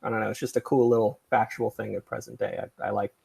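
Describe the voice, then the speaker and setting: Middle-aged male livestream host, husky with vocal fry, digressive and self-effacing. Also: fairly low pitch, steady tone, fast, a little mellow. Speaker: podcast creator and livestream host. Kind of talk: casual livestream chat.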